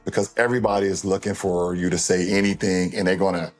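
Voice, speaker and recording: A man's voice: low voice, flat delivery, fast talker, faint music behind. A man giving casual, off-the-cuff interview remarks.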